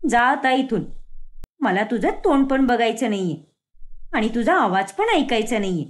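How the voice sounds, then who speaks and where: A woman voicing a fiery, sharp-tongued young woman in a cartoon story. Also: a little high, lively intonation, normal speed, lightly acted. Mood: angry. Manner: scolding, snappy.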